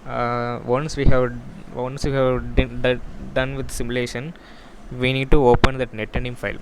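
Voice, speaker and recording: A man giving an informal software demo walkthrough, explaining in a halting, repetitive way. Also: medium pitch, poor audio. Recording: clear steady background noise.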